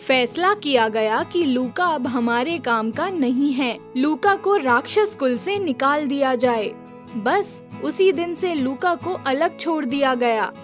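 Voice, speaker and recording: High-pitched, slow woman storyteller. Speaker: storyteller, narrator. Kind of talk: scripted children's story narration.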